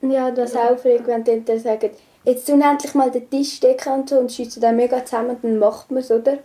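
Teenage girl, highish pitch, dark soft timbre, casual group chat. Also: fairly even intonation, fast, slight background noise. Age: young teenager.

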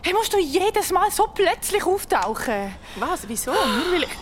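A woman's voice: extremely high pitch, big pitch swings, measured pace, a little hiss or hum, full character voice. An actress with a Swiss German accent playing a breezy, apologetic young woman in an audio drama.

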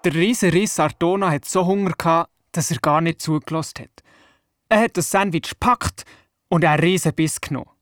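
A performer playing an excitable young boy who retells his dream vividly and naively in a children's radio play. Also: medium pitch, fairly quick, dry recording, studio quality, lightly acted. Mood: excited, dramatic. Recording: music behind the voice. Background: music.

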